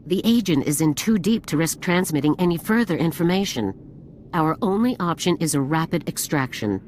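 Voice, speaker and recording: Casting: stern female general, low voice, clipped commanding orders, game cutscene with background noise. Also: animated, normal speed, darkish tone. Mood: stern, businesslike. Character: stern older female military general, authoritative and brisk. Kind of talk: scripted video game acting.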